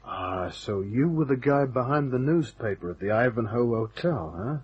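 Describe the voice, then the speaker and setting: A man's voice: medium pitch, expressive, normal speed, slightly rough. An actor playing a wry, tough private detective in a radio drama, wisecracking and hard-boiled, who also narrates his case. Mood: tense, challenging. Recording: dull, band-limited old radio recording.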